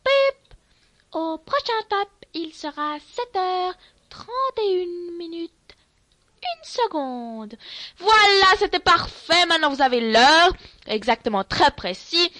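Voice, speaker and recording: A woman's voice: very high voice, animated, slow pace, sharp tone, no room sound. A woman playing a silly radio host with fake-formal announcer manners in an improvised sketch.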